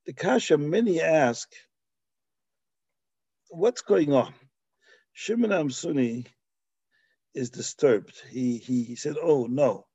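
A man's voice: on the high side, slow, long pauses, dark tone, breathy edge, no echo, lo-fi sound. A man raised in Belgium giving a Talmudic lecture, explaining passages with Hebrew and Yiddish terms and frequent restarts. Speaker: Torah teacher.

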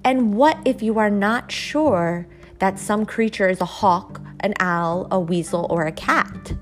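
A woman voicing a patient mother meadow mouse who quizzes her children gently. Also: mid-range voice, measured pace, faint music behind, lightly acted. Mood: coaxing. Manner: gentle, questioning teacher.

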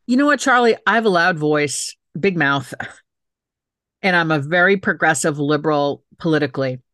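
Low-voiced emphatic middle-aged woman. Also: expressive, moderate pace, a bit bright, no echo, studio quality. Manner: emphatic and heartfelt, repeats phrases for stress. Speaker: guest, author and city council member. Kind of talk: earnest interview talk.

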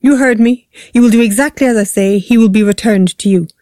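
A woman's voice: mid-range voice, expressive, fairly quick, dark tone, no room sound. A woman narrator plays a menacing kidnapper, a man who gives cold orders. The delivery is curt and controlled, in a dry audiobook recording. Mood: cold, threatening. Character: menacing male kidnapper, cold and commanding, Spanish-sounding. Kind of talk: audiobook character acting.

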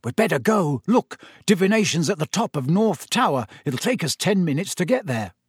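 Man acting an excitable, high-pitched teenage schoolboy. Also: normal speed, husky, no echo, studio quality, exaggerated voice. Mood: anxious. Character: excitable, disbelieving teenage schoolboy, nagging his friend.

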